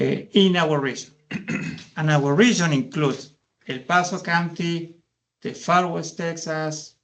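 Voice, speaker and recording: A gravelly, somewhat high-voiced man in his fifties speaks English with an Argentine Spanish accent. He presents to a board in a lively, anecdotal way, telling stories and chuckling now and then. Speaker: community loan fund CEO presenting. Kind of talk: meeting presentation.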